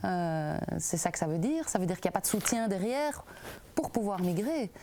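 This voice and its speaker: A woman's voice: medium pitch, big pitch swings, normal speed, warm tone. A woman from an advocacy association answering interview questions, thinking aloud and searching for words. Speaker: association worker.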